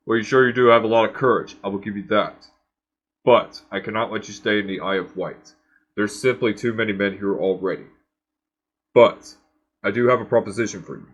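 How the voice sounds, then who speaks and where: Male actor as stern post-apocalyptic settlement leader for a game; low voice, measured command, slightly muffled lo-fi sound. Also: natural voice. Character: guarded, authoritative wasteland settlement governor, man. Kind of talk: scripted game cutscene dialogue.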